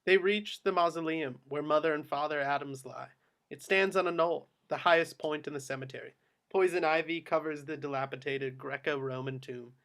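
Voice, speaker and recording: Higher-voiced man reading screenplay directions. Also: fairly even intonation, normal speed, a bit bright. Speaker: stage-direction narrator. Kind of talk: scripted narration at a table read.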